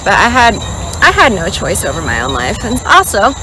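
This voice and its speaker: A young woman in her twenties vlogging outdoors, telling a story in a chatty, animated way and acting out quotes. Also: medium pitch, moderate pace, noisy background. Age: 20s. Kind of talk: casual storytelling vlog. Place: outdoors in a rural area. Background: insects and crickets chirping.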